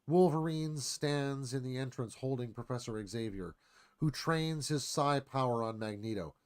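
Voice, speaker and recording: A man's voice: medium pitch, a little slow, a little mellow, clean tone. A man reading dramatic scripted narration as screenplay narrator, in clipped phrases.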